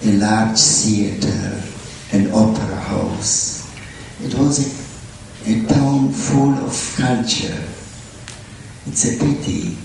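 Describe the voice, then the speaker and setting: Older man, slow gravelly voice in hall. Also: on the low side, a little mellow, slight background noise. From Bukovina. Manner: slow, halting, fond storytelling. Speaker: conference interviewer. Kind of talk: reminiscing onstage conversation. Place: conference hall. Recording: echoey hall, distant mic.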